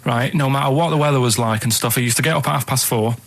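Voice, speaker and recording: A man with a mid-pitched voice co-hosts a radio show. He tells stories casually, wandering through small details in earnest. His wording is plain and unpolished. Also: quick pace. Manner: rambling, plain-spoken, earnest. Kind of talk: casual chat and anecdote telling.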